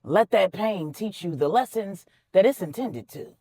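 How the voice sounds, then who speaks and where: A woman's voice: mid-range voice, animated, unhurried, mellow, slightly rough, dry recording, studio quality. A woman voicing a wise older mother in a story, giving earnest, folksy, emphatic counsel. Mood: consoling, firm.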